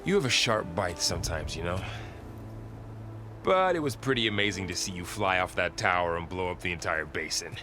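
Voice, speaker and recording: A man playing a loyal young warrior companion in a video game, with a gently persuasive manner. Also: low voice, expressive, a little fast. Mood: teasing admiration. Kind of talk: dramatic video game acting. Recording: music behind the voice.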